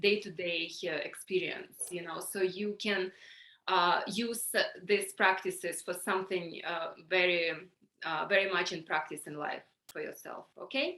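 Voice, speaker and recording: A middle-aged woman with a Ukrainian accent, leading an online workshop in a halting way, full of fillers and restarts, on a video call. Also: on the low side, fairly even intonation, pushed and bright. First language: Russian or Ukrainian. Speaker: workshop facilitator. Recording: echoey room.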